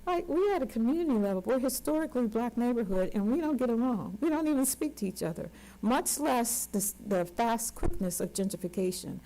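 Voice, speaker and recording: A woman's voice: fairly high pitch, expressive, fairly quick, mellow, low-quality recording. A woman in her sixties speaking on a conference panel as a community organizer, earnest and emphatic, repeating words for stress. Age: 60s. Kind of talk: unscripted panel remarks.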